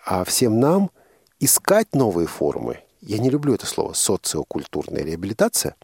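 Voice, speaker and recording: Low, gravelly male radio host. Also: huge pitch swings, normal speed, a little mellow, dry recording, clean studio sound. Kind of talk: conversational radio hosting.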